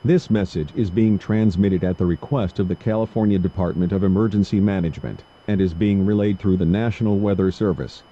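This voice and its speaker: A man playing a formal, detached announcer with a husky voice, reading a scripted emergency warning. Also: low voice, a little fast, hardly any pauses, mellow, a little hiss or hum, close to an everyday voice. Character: detached official weather service alert announcer. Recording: dull lo-fi broadcast audio.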